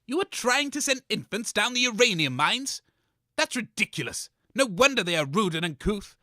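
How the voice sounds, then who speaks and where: A man's voice: high voice, sing-song, normal speed, bright, forceful tone, no room sound, studio quality, full character voice. A man playing a grumpy, blunt radio co-host, scolding and exasperated in a scripted comedy show. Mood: scornful.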